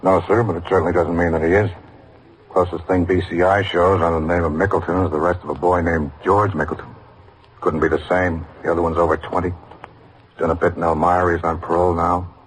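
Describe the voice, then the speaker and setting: Actor as a deep, brisk detective lieutenant. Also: male voice, flat delivery, natural voice. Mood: matter-of-fact. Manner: clipped, matter-of-fact reporting. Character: skeptical, matter-of-fact male police detective lieutenant. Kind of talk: old-time radio drama acting. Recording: noisy vintage radio drama recording.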